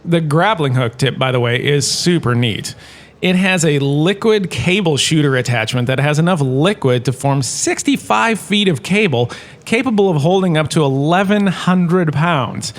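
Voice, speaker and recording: Enthusiastic man acting a showy male lecturer, higher-pitched. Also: lively intonation, moderate pace, close to an everyday voice. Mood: genial, showy. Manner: enthusiastic, chatty, showy asides. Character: washed-up holodrama star turned enthusiastic, quirky university lecturer. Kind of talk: scripted comedic audio-drama lecture.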